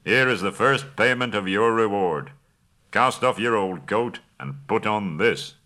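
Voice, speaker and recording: A man's voice: on the low side, animated, unhurried, sharp tone. A man reading aloud and voicing a proud, commanding male dwarf king in a stern, declaiming manner. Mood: grand, generous.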